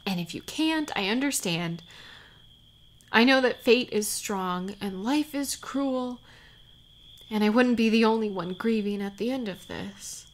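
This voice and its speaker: Woman acting a worried sweetheart, slow-paced, soft, halting, tender, intimate audio drama, faint crickets behind. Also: on the high side, slight background noise. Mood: worried, pleading. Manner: soft, halting, sighing, sometimes near whisper. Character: tender, worried woman parting from her warrior beloved. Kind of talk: intimate scripted audio roleplay.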